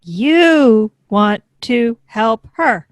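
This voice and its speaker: A woman's voice: on the high side, extremely slow. A woman voicing an obedient, literal-minded little robot girl in a sitcom table read. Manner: flat, literal, echoing commands.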